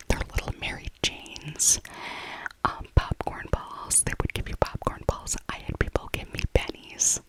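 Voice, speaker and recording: A middle-aged American woman speaking in a soft, breathy whisper, chatting nostalgically in a casual ASMR voiceover and often repeating her phrases. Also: low-quality recording. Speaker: ASMR video creator.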